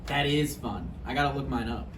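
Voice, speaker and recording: A man's voice: medium pitch, brisk, natural voice. A man playing an anxious, insecure, needy boyfriend in a naturalistic play table read, hesitant and pleading. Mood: awkward, wistful. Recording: echoey room, distant mic, faint noise.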